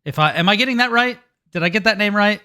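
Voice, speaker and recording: A tired man hosting a casual podcast, joking and chatting in a relaxed way. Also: high-pitched, quick pace. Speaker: podcast host.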